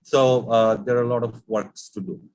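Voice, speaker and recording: A man, a Bangla speaker, giving an academic research presentation with many hesitant filler pauses. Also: medium pitch, little pitch movement, darkish tone, poor audio. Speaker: conference presenter.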